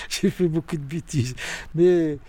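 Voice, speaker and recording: A man in his sixties with a Tunisian accent, telling stories about his childhood in a halting, animated way. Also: husky, no echo. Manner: animated, halting, with sudden pitch jumps. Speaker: interviewee. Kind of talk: personal storytelling.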